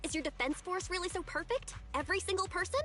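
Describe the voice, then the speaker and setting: A woman playing a plucky, principled young female proxy in a video game, earnest and quick to retort. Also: very high-pitched, sharp tone, soft background music. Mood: defiant, earnest.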